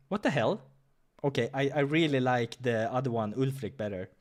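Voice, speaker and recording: A man's voice: medium pitch, normal speed. A man hosting a game playthrough, reading visual novel narration and breaking off for casual asides.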